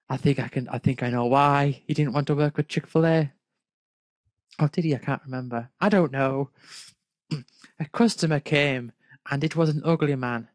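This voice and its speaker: A man's voice: a little high, dry recording, clean studio sound. A man reading a story aloud and commenting on it casually in an incredulous, nitpicking way. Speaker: fan fiction reader and commentator.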